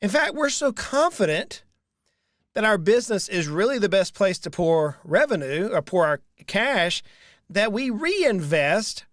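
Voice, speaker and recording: A man in his thirties talks through money advice, pitched fairly high for a man. He speaks earnestly and leans on repeated phrases for emphasis. His voice sits close on a studio mic with a dry, dead sound. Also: a bit bright, no room sound. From Southern United States. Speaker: financial advisor hosting a show. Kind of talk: solo talk to camera. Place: small room with dark curtains. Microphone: large studio mic in front, headphones.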